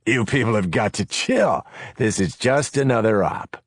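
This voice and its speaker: A man's voice: on the low side, expressive, measured pace, dry recording, clean studio sound, voice pushed up. A man playing a brash, cocky gang leader. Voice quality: brash, mocking, profane. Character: crude scornful male gang leader, cocky.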